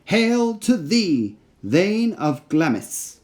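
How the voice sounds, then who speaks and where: A man voicing eerie withered bearded witches from a storybook, chanting prophecies in slow, drawn-out phrases. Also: high voice, sing-song, darkish tone, voice pushed up, full character voice. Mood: eerie hailing.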